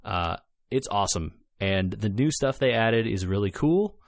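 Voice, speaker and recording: A man's voice: on the low side, animated, normal speed, vocal fry, no room sound, studio quality. A man co-hosting a podcast, explaining things in an informal, enthusiastic way in casual chat about video games. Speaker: podcast co-host reviewing games.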